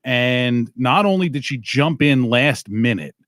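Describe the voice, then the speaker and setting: Male comedy podcast host, profane and teasing, mid pitch, dry close mic. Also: lively intonation, unhurried, fairly bright tone, dry recording. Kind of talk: crude casual banter.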